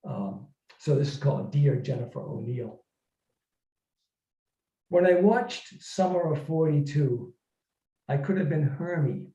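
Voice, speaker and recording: A man speaking as an author at a literary reading, in a reflective, halting manner. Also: a little high, lively intonation, dark tone. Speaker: author reading and discussing own work. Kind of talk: informal literary talk and story reading to an audience. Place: echoey room, recorded from a distance. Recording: muffled, echoey room, distant microphone.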